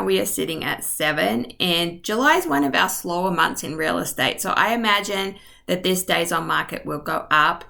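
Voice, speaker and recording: A woman's voice: mid-range voice, creaky, bright, forceful tone. A woman real estate agent narrating a map tour in a friendly, informal way, a little short of voice. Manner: friendly, informal, with ums and asides. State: losing her voice. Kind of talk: map tour narration over screen share.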